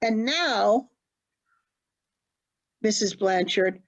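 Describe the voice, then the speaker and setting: A woman playing an elderly, forgetful, genteel mother in a stage play, telling her story in a dramatic, halting way. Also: medium pitch, animated. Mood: earnest reminiscence. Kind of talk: stage acting in an online one-act play. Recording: dull lo-fi online call audio.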